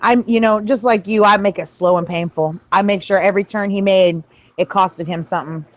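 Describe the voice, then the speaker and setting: A woman's voice: mid-range voice, fairly even intonation, brisk. A woman in her forties in casual chat, talking emphatically and swearing. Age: 40s. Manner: emphatic, profane reacting.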